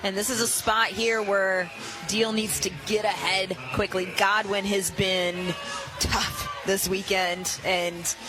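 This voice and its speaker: A woman giving live softball analysis as a radio color commentator, explanatory and opinionated. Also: medium pitch, level tone, slow, music in the background, poor audio.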